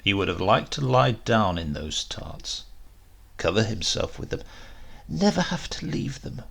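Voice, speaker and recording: A man narrating a short story as a dramatic audiobook reading, with deliberate phrasing and emphasis. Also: lowish voice, big pitch swings, vocal fry, fairly bright tone, husky.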